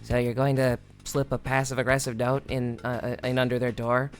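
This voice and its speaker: A man reading aloud the lines of a young woman's calm, offhand sister, in a low, dry way. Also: fairly quick, soft background music, close to an everyday voice. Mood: deadpan.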